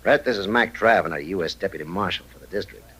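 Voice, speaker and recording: An actor playing a shrewd, threatening saloon boss in an old-time radio western, with a sardonic, calculating manner. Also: male voice, low voice, quick pace, fairly bright tone, breathy edge.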